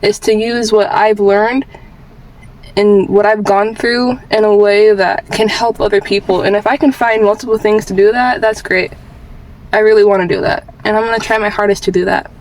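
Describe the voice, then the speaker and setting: A woman's voice: mid-range voice, little pitch movement, normal speed, a little mellow, rough recording. A twenty-year-old woman vlogging with candid personal advice in an informal, rambling, earnest way, repeating phrases for emphasis.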